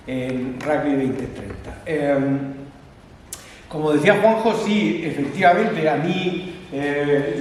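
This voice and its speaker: A man with an Argentine Spanish accent giving a conversational public lecture, halting now and then with fillers and restarts. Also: a little high, a little mellow. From Catalonia, Spain. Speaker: guest speaker. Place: lecture hall. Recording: echoey hall from far off with faint background noise.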